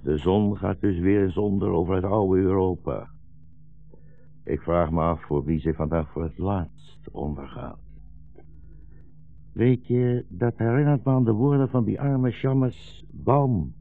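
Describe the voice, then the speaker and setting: An actor playing a weary, gentle old blind rabbi in a 1960s radio drama, speaking slowly and solemnly as a philosophical storyteller. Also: male voice, deep voice, gravelly, soft background music. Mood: sombre, reminiscing. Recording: dull, roomy 1960s radio recording.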